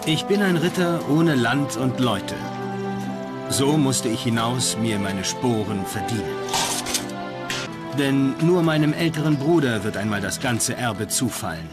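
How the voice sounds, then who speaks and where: A man's voice: medium pitch, lively intonation, measured pace, natural voice. A man acting a solemn young medieval knight. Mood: solemn, resolute. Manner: solemn, formal, old-fashioned phrasing. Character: young landless medieval Portuguese knight, devout and ambitious. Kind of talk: first-person dramatized documentary narration. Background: loud music.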